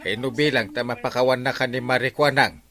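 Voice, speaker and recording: A man's voice: mid-range voice, fairly even intonation, brisk, bright, forceful tone, natural voice. A man acting an exasperated village captain who scolds theatrically, in an Ilocano comedy radio drama with an Ilocano accent. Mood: scolding.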